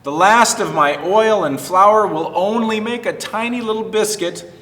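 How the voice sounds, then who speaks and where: A man's voice: high voice, unhurried, fairly bright tone, distant mic, voice pushed up. A man voicing a kind, poor widow in a children's Bible story, sad and apologetic. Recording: reverberant, echoing room.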